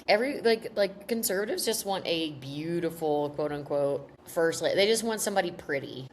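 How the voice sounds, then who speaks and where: A woman co-hosting a comedy podcast, animated and rambling, blunt and quick to swear. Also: low voice, slight background noise. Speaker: podcast co-host. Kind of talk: comedic casual chat.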